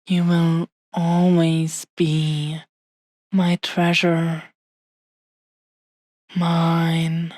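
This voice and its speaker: A woman plays a dragon-blooded woman who dotes on her beloved like hoarded treasure. Her voice is lowish and soft-toned, drawn out very slowly, in an intimate, soothing romance roleplay. She is tender and possessive. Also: no echo, studio quality. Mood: adoring, possessive. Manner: slow, lingering, soothing, affectionate delivery with long pauses.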